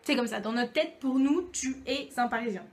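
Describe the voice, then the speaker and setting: A woman's voice: a little high, moderate pace. A young French woman talking to camera in a chatty, playful vlog, acting out little dialogues as she goes. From France. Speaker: YouTube vlogger. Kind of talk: casual comic monologue to camera.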